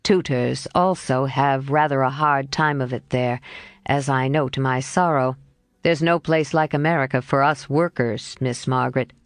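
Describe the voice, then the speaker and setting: A woman lowers her voice for a kind young male tutor in a storybook, gentle and a little wistful. The voice is noticeably deeper than her narration, with an unhurried, subdued delivery in a dry recording. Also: steady tone, no room sound.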